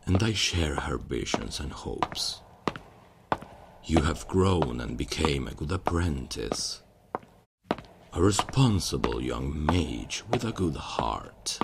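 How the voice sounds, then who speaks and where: A man acting a kindly, fatherly old archmage in a fantasy ASMR roleplay, speaking in a gentle, solemn, measured way with a gravelly, husky voice. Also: a bass voice, sing-song, very noisy, full character voice. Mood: fond, proud. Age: older.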